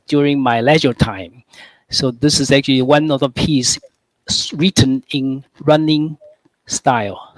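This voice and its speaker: A man's voice: on the high side, no echo, low-quality recording. A man from Taiwan, a guest calligrapher, explaining his work in a conversational way with many fillers and restarts.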